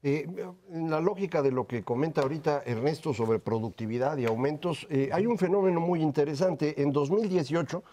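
A man's voice: a little high, brisk, few pauses, warm tone. A man in his fifties with a Mexican accent, making his case assertively as a television panelist. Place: television studio panel desk. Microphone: lapel.

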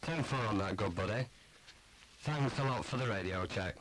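A man's voice: lowish voice, lively intonation, moderate pace, fairly bright tone, slight background noise, rough recording. A man playing a chummy CB radio caller in a scripted demonstration on an instructional tape.

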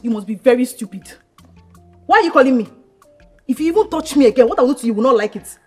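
A woman's voice: high voice, animated, fast talker, darkish tone, slightly rough, faint music behind. An actress with a Nigerian accent playing Kelechi, a young woman who swings between fury and tears. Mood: angry. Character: young woman Kelechi, fiery then tearful. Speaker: actress in a skit.

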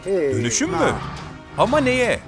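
An upbeat, encouraging cartoon helper voiced by a man, with a high, sing-song voice that swoops up and down. Loud music plays under the studio dub. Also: wildly swooping pitch, measured pace, full character voice. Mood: cheerful. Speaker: narrator and helper character in a children's cartoon. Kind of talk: dubbed children's cartoon dialogue.

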